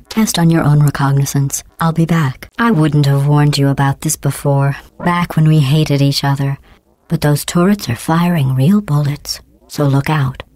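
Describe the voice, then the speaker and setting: A woman voicing a cold, sarcastic female AI in a game, with a robotic processed sound and a deadpan, mocking, passive-aggressive delivery. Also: deep voice, dry recording. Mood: sly, confiding. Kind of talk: video game voice acting.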